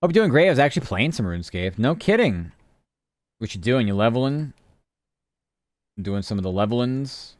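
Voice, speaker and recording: A man's voice: mid-range voice, big pitch swings, moderate pace, pauses often, no room sound, studio quality. A man streaming live, chatting casually and rambling from topic to topic while answering his chat.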